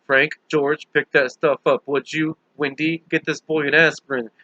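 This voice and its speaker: A man's voice: a little high, level tone, moderate pace, pushed and bright, no room sound, muffled recording. A man voicing an older, heavyset small-town sheriff in an audiobook, folksy and dry, skeptical and used to taking charge. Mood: commanding. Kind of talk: audiobook character voice.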